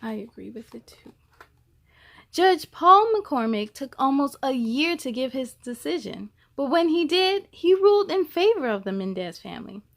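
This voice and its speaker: A woman reading a children's picture book aloud as a story-time host, with a deliberate, expressive storytelling delivery. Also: high voice, creaky.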